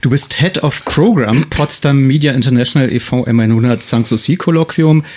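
A male radio host speaks at a medium pitch in a studio. He chats informally and wanders into asides as he leads a casual interview. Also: a little fast, darkish tone. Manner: chatty, informal, rambling with asides.

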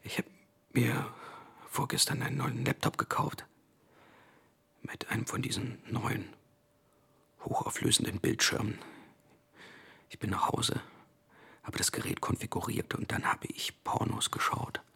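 An actor playing a shameless, crude oversharer in a radio play, hoarse and unembarrassed, speaking deliberately. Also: male voice, medium pitch, sharp tone, in a whisper, exaggerated voice. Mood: shameless, confiding. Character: shameless oversharing man, crude but insisting he is empathetic.

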